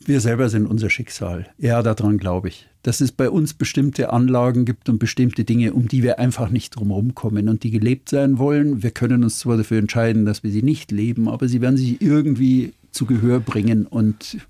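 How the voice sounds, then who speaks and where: A man in his sixties, a sailor and author, musing in a relaxed interview chat, who sometimes loses his thread and chuckles. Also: mid-range voice, fairly quick, few pauses, dry recording, studio quality. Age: 60s.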